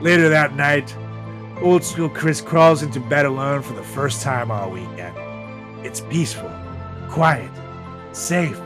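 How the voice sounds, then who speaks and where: A man podcast host narrating a comedic story with dramatic pauses, over background music. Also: medium pitch. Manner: dramatic pauses, drawn-out delivery.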